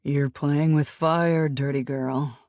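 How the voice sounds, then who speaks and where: A commanding, possessive man, as a woman reader puts him on speaks in a low, unhurried drawl that gloats at every word. The sound is close and dry, with no room around it. This is an audiobook character voice. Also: steady tone, darkish tone, dry recording. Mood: teasing. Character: commanding, possessive grown man, teasing lover.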